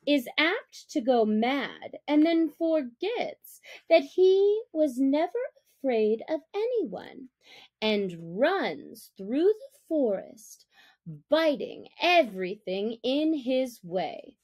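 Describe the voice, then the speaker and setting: A woman narrating a classic story as an audiobook reader, with deliberate, expressive phrasing. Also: high voice.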